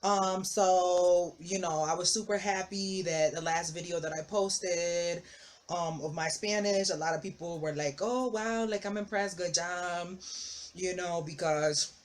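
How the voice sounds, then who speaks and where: A young adult vlogger giving a casual, rambling update, full of "you know" and "like", with excitable outbursts. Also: medium pitch, a little slow, a little hiss or hum.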